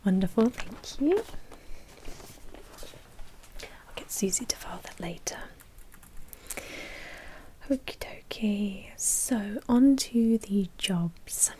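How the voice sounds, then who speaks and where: A woman's voice: mid-range voice, slow pace, long pauses, dark tone, close to an everyday voice. A woman playing a polite, businesslike vampire blood bank manager in a whispered ASMR roleplay, explaining procedures in a helpful, officious way. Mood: informative, encouraging.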